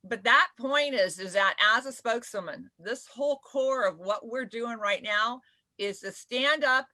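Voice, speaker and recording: A bright, forceful woman with a fairly high voice talks on a live panel video call. She is animated and quick to laugh. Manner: animated, laughs often, says she has a deep voice. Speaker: spokeswoman and panelist. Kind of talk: casual panel chat on a live video broadcast.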